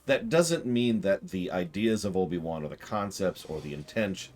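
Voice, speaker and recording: A man's voice: low-pitched, moderate pace, a little mellow. A man doing casual live stream commentary on a game, explaining his ideas at length and thinking aloud. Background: game music.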